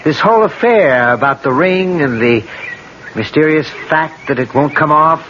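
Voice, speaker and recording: An actor playing a disapproving gentleman rival with a dry, formal, measured manner in a radio drama. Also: on the high side, lively intonation. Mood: earnest warning. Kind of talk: 1970s radio drama acting. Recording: dull, noisy old radio broadcast.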